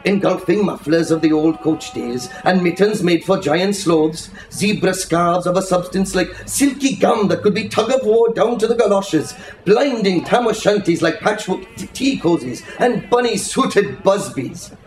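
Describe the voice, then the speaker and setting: A man giving an expressive, theatrical reading of a literary story at a live bookshop event, savouring the words. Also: high voice, measured pace, hardly any pauses, roomy sound, faint music behind, low-quality recording. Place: bookshop, indoors.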